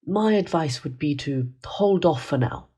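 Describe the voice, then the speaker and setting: An actor voicing a grave ship's doctor in a radio play, speaking slowly in a breathy half-whisper. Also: medium pitch, animated. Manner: slow, hushed, careful delivery. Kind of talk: amateur radio drama acting.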